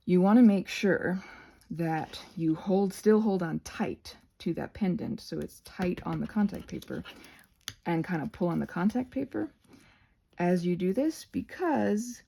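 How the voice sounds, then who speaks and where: A woman's voice: fairly low pitch, vocal fry, warm tone. A woman giving a casual, rambling craft tutorial, often interrupting herself with playful asides.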